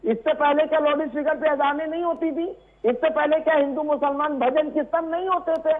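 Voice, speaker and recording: A man argues passionately as a remote debate panelist and keeps talking over the host. His voice sits very high, and it comes through a narrow, phone-like line. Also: steady tone, brisk. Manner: impassioned, talks over the anchor. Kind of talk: heated debate argument. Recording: tinny phone-line audio.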